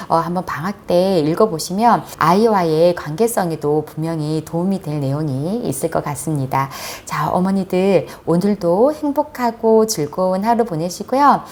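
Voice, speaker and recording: Lively, lower-voiced woman recommending a book. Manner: explanatory, polite, acts out example phrases. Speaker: book review host, teacher. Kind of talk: conversational book recommendation addressed to mothers.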